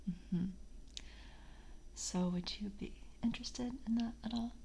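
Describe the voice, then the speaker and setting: A woman voicing a polite, hungry, slyly predatory vampire coven screener in a soft ASMR roleplay, whispering and hesitant, with formal, coaxing words. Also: a little high, unhurried, creaky, a little hiss or hum.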